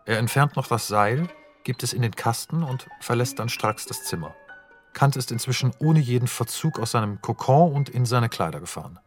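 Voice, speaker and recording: A man calmly reading stage directions as narrator of a radio play. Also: medium pitch, normal speed, soft background music. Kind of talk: scripted narration.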